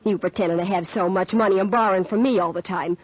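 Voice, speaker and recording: An actress in a 1940s radio drama playing a lovesick, sharp-tongued girlfriend, slangy and emotional. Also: mid-range voice, fairly even intonation, quick pace, no echo, natural voice. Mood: bitter, angry. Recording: muffled 1940s radio recording.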